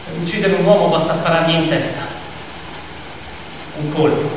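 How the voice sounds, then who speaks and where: A man's voice: on the high side, steady tone, normal speed, background noise. A man narrating a radio play in a dramatic, declamatory and ironic theatrical style. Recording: echoey hall, distant mic, music behind.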